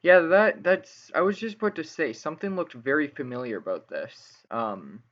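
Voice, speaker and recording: A man's voice: a little high, animated, moderate pace, fairly bright tone. A man hosting a casual gaming interview, speaking in a hesitant, rambling way. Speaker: interviewer, gaming channel host. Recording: dull lo-fi voice chat.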